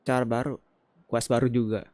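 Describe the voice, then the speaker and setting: A man's voice: medium pitch, flat delivery, quick pace, a little mellow. A man with an Indonesian accent giving casual playthrough commentary as he games. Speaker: gamer reacting to gameplay.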